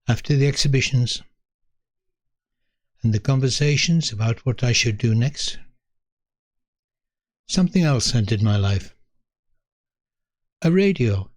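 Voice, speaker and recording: An English man in his eighties telling a reflective story from his own life in a measured manner, pausing between short phrases. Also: medium pitch, a little mellow, no echo. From North East England. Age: 80s. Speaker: storyteller, host of own video series. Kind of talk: reflective autobiographical storytelling to camera.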